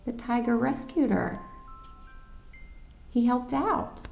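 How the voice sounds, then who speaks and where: A woman reading a picture book aloud to children as a storyteller, with an expressive, dramatic manner. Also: fairly high pitch, slow pace, vocal fry, faint music behind. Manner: expressive, dramatic reading with questions to young listeners. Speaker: volunteer storyteller.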